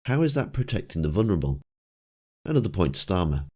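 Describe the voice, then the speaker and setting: A man with a croaky, cold-roughened voice, giving casual commentary with dry, wry asides. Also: sits low, sing-song, fast, dark tone. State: hoarse from a cold. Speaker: political commentator. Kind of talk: casual commentary over clips.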